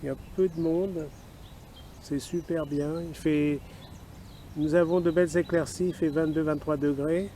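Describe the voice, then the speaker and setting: Mellow higher-voiced Frenchman talking outdoors. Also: steady tone, unhurried. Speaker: amateur radio operator filming an outing. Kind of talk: casual outdoor vlog and radio call talk. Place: outdoors in a wooded park. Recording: outdoor ambience, faint background noise.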